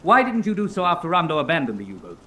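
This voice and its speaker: A military prosecutor in a radio drama, formal and relentless. His slightly high voice moves with great variety as he presses each question. There is faint noise in the background. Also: wildly swooping pitch, quick pace, bright, forceful tone, heavily acted. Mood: pressing, accusatory. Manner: formal, pressing cross-examination. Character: relentless, precise military prosecutor at a naval court-martial. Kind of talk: radio drama courtroom acting.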